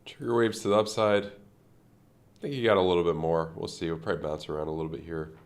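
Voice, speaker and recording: Man, very low voice, nasal, stuffed-up, rambling trading chat. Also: fairly even intonation, quick pace. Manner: rambling, thinking aloud with many fillers. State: congested from a sinus infection. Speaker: crypto trading streamer. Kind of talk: casual live-stream chart commentary.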